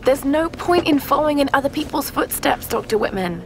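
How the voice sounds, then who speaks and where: A woman's voice: high voice, lively intonation, a little fast, slight background noise. A woman playing an earnest, determined young archaeologist in a video game cutscene.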